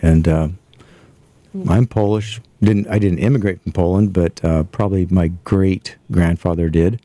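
A man's voice: sits low, steady tone, measured pace, dark tone, dry recording. A man speaking as a guest on a talk show, easygoing and reflective. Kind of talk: conversational radio talk.

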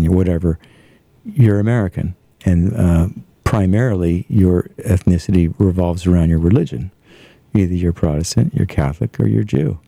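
A man's voice: low-pitched, gravelly, warm tone, no room sound. A man speaking as a guest on a talk show, easygoing and reflective. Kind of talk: conversational radio talk.

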